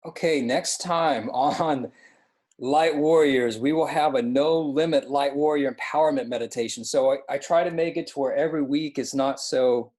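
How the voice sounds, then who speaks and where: A man with a fairly high voice loudly announces next week's session. He sounds upbeat and a little far from the mic on a video call. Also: moderate pace. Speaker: host.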